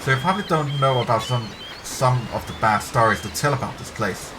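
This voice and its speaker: A man's voice: medium pitch, a little fast, natural voice. A man voicing a talkative, uneasy young woman archaeologist in a story read aloud. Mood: uneasy, confiding. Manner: talkative, apologetic, hushed confiding. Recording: clear background ambience noise.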